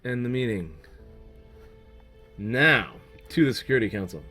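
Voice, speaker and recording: Hoarse male gaming host, musing aloud, relaxed play-along commentary. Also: medium pitch, a little slow, faint music behind. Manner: thinking aloud, musing while playing. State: losing his voice. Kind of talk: casual let's-play commentary.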